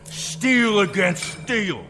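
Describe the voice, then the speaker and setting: An actor playing a bitter, defiant factory worker, impassioned and plainspoken in a dramatic performance. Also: male voice, high-pitched, sing-song, very slow, very noisy, exaggerated voice. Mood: angry, defiant. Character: bitter, defiant male factory worker raging at his boss. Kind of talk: dramatic radio-style acting.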